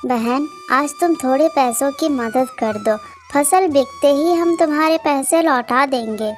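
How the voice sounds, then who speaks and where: A woman's voice: high voice, unhurried, soft background music. A woman playing a poor, humble young village wife in a cartoon moral story, earnest and polite. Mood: pleading.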